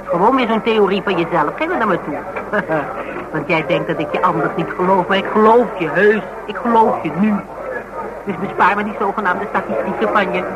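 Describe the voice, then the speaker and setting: An actress with a Netherlands Dutch accent playing a sharp-witted, bantering woman in a naturalistic radio drama. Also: medium pitch, fairly quick, lightly acted, rough recording. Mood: amused teasing. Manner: bantering, blunt, mocking. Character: sharp-witted, teasing woman, confidante of a police detective.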